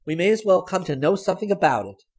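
A man's voice: high voice, sing-song, fast talker, voice pushed up. A man voicing an eager, bossy teenage girl in a storybook, with bold, impatient, exclamatory delivery.

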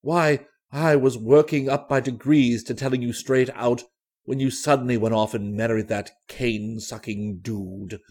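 A man voicing a blunt, sentimental old vaudeville performer with a husky voice and emphatic phrasing, in a storybook reading. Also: mid-range voice, steady tone, unhurried, a little mellow, voice pushed down. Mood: indignant, hurt.

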